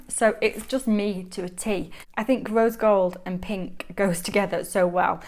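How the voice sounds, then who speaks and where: A young woman with a Manchester accent, chatting enthusiastically as she shows her handmade planner notebook on camera. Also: medium pitch. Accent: Mancunian. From Manchester, England. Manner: chatty, enthusiastic, laughs often. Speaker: planner vlogger. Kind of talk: casual show-and-tell chat.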